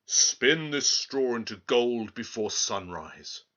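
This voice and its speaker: A man voicing a greedy fairy-tale king, imperious and deliberate in his commands. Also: medium pitch, lively intonation, sharp tone, lo-fi sound. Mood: commanding.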